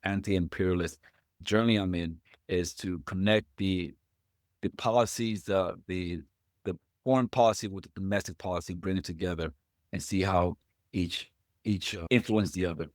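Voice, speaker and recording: Podcast host, middle-aged man from Puerto Rico, low and halting, dry close sound. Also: steady tone, no echo. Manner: halting, repeats words as he thinks. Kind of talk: conversational interview.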